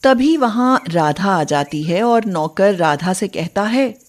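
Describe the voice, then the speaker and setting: A woman narrates a storybook tale at a slow, measured pace. Her pitch sits in the middle of the female range, and the delivery is scripted storytelling. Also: lively intonation.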